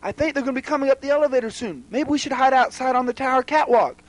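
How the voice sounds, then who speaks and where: High-voiced, falsely friendly puppet sidekick. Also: male voice, fast, dry recording, poor audio. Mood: mildly concerned. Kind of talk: homemade puppet comedy skit.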